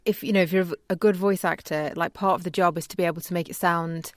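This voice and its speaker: A woman's voice: on the low side, fairly even intonation, fast, dry recording, clean studio sound. A woman chatting casually as a co-host on a podcast.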